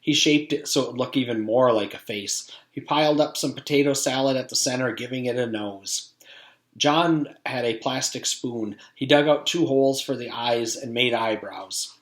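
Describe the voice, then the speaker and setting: A man's voice: medium pitch, normal speed. Echoey man reading a storybook aloud. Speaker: teacher reading aloud to a class. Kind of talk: storybook read-aloud narration. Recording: echoey room.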